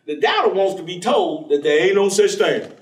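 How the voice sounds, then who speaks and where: An actor playing a warm, devout, folksy man, teasing and preaching in a conversational staged reading. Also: high-pitched, animated, moderate pace. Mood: earnest, reflective. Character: warm, devout, folksy man, witty self-styled outlaw believer. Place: theatre stage. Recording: echoey stage recording from far off.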